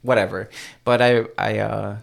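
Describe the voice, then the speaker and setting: A man's voice: on the low side, fairly even intonation, unhurried, creaky. A man, a podcast guest, telling a personal story in casual chat, with many fillers and restarts.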